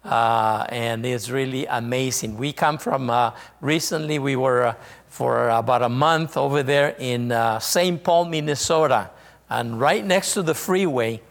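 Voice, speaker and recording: A man from northern Mexico with a Mexican Spanish accent, a visiting missionary preaching a sermon, halting often with fillers and restarts. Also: medium pitch, creaky, big-room sound.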